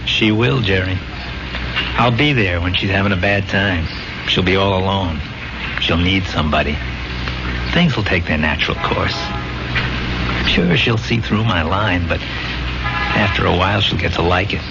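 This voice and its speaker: Brash low-voiced hell-raiser, old radio drama. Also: male voice, measured pace, gravelly, long pauses. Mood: cocky, persuasive. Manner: streetwise, glib, persuasive. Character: brash, hell-raising dead soldier pleading with his buddy. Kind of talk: 1970s radio drama acting. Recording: dull 1970s radio recording, music underneath.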